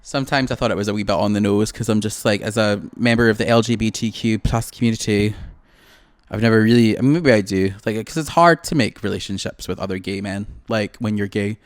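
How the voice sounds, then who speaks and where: A man's voice: fairly low pitch, a little fast, dry recording, studio quality. A Scottish man in his late twenties, co-hosting a podcast in casual, rambling, self-deprecating chat. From Scotland. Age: late 20s. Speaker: podcast co-host.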